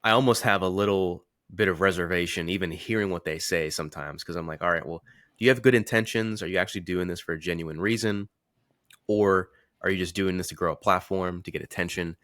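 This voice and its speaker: A man's voice: low voice, brisk, fairly bright tone, no echo, clean studio sound. A man hosting a podcast in a casual, informal, slangy way. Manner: informal, slangy, occasional swearing. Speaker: podcast host and men's confidence coach.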